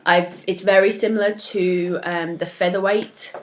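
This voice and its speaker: A young woman talking on her own about her knitting and her group, her voice sitting a touch low. She sounds a little stuffed up from a cold and chats along loosely, full of ums and small laughs. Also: steady tone, unhurried, slight background noise. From moved to Australia from elsewhere. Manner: chatty, warm-hearted, many ums and soft laughs. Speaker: knitting podcast host. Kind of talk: casual solo chat to camera.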